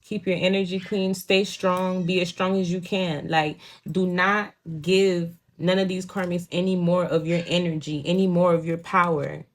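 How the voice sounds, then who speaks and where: A woman's voice: on the low side, little pitch movement, moderate pace, hardly any pauses. Profane Haitian-accented woman reading tarot. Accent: Haitian Creole. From Haitian home. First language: Haitian Creole. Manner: blunt, profane, frequent "you know what I'm saying". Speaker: tarot reader. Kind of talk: casual spiritual reading.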